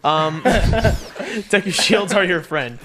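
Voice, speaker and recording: A man giving casual video game commentary as the host, playful and often pausing to find his words. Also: sits high, crowd noise, rough recording. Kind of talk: casual Let's Play commentary.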